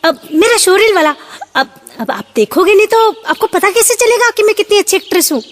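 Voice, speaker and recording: A woman's voice: extremely high pitch, animated, brisk. A woman playing a strange, desperately eager actress of about forty in a horror audio drama, odd-voiced, hesitant and pleading. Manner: hesitant starts, insistent pleading. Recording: long reverb, faint noise.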